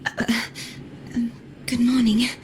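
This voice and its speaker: A woman's voice: a little high, little pitch movement, takes it slowly, a little mellow, slight background noise. A woman voicing an earnest, hesitant young woman in a fantasy video game. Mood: shy, worried.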